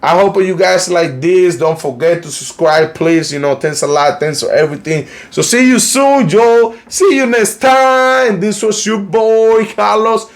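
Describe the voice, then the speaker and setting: Colombian Spanish-accented man, fairly high voice. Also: unhurried, hardly any pauses. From Cartagena, Colombia. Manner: rambling, heavy use of "you know, like", frequent restarts. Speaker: YouTube news channel host. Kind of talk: casual commentary vlog.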